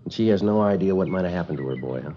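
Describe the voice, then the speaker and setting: Radio police sergeant: terse, businesslike, very deep, brisk delivery, muffled vintage recording. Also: male voice, animated, mellow, slight background noise. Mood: terse, grim. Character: terse, stoic male police detective sergeant. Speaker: police detective sergeant and narrator. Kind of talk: 1950s radio drama acting, clipped narration.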